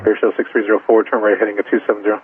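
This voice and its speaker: A man's voice: fairly low pitch, level tone, rapid-fire. A man working as an air traffic controller, giving clipped procedural instructions to aircraft over aviation radio. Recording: tinny narrowband radio with faint static.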